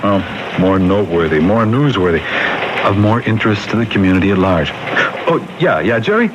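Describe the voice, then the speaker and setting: Through dull, noisy old radio sound comes an actor as a weary, practical police captain with a lowish voice. He reasons patiently but firmly, leaning on key words in seventies radio-drama fashion. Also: lively intonation, a little fast, close to an everyday voice. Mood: firm, coaxing. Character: world-weary, pragmatic male police captain. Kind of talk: 1970s radio drama acting.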